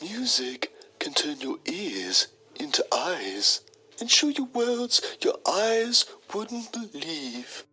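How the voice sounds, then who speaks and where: A woman's voice: lowish voice, big pitch swings, slow pace, pushed and bright, slightly rough, heavily acted. A woman playing a pretentious, self-admiring male journalist, narrating a mock documentary in a theatrical manner. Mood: mock poignancy. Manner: pompous, theatrical.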